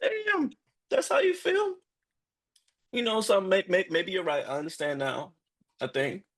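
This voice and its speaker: A man acts out a weary, lovestruck young guy with a husky, rough-edged voice. He is earnest and rattled, halting and repeating himself, and his pitch swings widely in a dark-comedy screenplay read. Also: expressive, heavily acted. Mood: desperate. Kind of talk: live screenplay table read.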